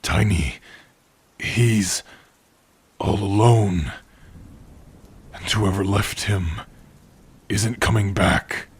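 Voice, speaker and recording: A man voicing a gentle, protective orc in an ASMR roleplay, speaking softly and haltingly to his partner in a husky, breathy voice. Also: fairly low pitch, exaggerated voice. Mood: grave, explaining. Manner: soft, halting, hushed, intimate.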